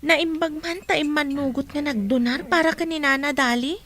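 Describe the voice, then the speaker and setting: A woman's voice: high-pitched, fairly even intonation, normal speed, fairly bright tone. A woman with a Filipino accent playing an inquisitive, chatty young student in an audio drama. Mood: curious. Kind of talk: Ilocano audio drama acting.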